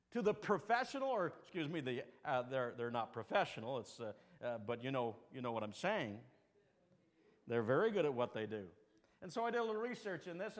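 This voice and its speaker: High-voiced preacher in a reverberant hall. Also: male voice, a bit bright. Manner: folksy storytelling with jokes and asides. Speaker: pastor. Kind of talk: sermon. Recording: long reverb of a large hall.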